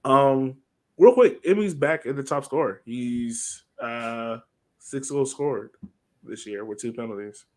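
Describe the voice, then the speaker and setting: A man's voice: medium pitch, unhurried, darkish tone. A man chatting casually as the host of a sports podcast.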